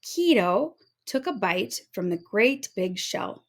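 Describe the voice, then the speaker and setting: A woman narrating a children's storybook aloud in an expressive storytelling manner. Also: medium pitch, takes it slowly. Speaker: storybook reader.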